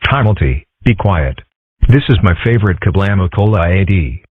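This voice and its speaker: Cartoon dub animal male actor: low, sardonic, curt and unimpressed, tinny phone-like sound. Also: animated, normal speed, breathy edge, no room sound. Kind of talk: comic dub voice acting. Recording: muffled, phone-like narrow sound.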